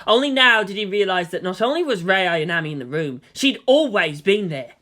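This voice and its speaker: A man's voice: high-pitched, lively intonation, fairly quick, bright, forceful tone. A man giving a dramatic scripted narration of a fan fiction story.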